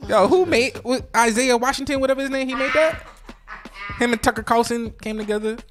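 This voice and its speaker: A woman's voice: fairly high pitch, a little fast, music in the background. A woman co-hosting a morning radio show, chatting in a laid-back way with plenty of slang. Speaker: radio co-host. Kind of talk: casual chat.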